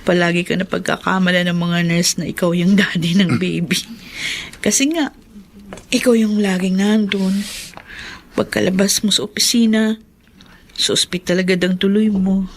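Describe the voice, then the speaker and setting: A woman's voice: medium pitch, steady tone, moderate pace, pauses often, a little hiss or hum, lightly acted. An actress playing a proud, emotional young wife and mother in a Filipino radio drama, with a Filipino accent. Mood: grateful, tender.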